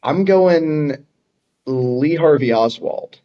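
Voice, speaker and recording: A man's voice: a little high, expressive, very slow, muffled recording. An American man talking as a podcast guest in casual chat, easygoing, plain-spoken and loosely phrased. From Dayton, Ohio, USA. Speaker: podcast guest, air traffic controller.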